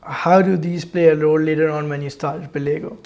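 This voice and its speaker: Upbeat podcast host, higher voice. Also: male voice, steady tone, brisk, darkish tone. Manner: upbeat, friendly, casual phrasing. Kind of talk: interview hosting.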